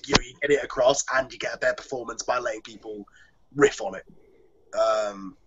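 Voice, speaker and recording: A man hosts a casual online group chat in an average-pitched voice. He rambles and hesitates as he goes. The sound is dull and lo-fi, like an internet call. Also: fairly quick, breathy edge. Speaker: host, audio drama producer.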